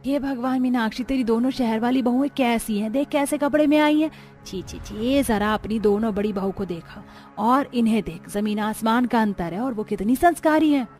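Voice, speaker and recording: A woman plays a disapproving, gossipy village aunty of middle age. The voice is high and scandalized, in a cartoon drama over faint music. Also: normal speed, a little mellow, close to an everyday voice.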